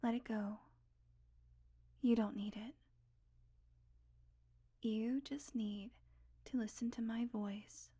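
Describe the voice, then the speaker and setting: A woman's voice: a little high, fairly even intonation, mellow, breathy edge. A woman playing a sardonic, blunt vampire who is guardedly caring and coaxing, in an intimate audio roleplay. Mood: soothing, hypnotic. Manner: sarcastic, blunt, coaxing, sighing, deliberate pauses.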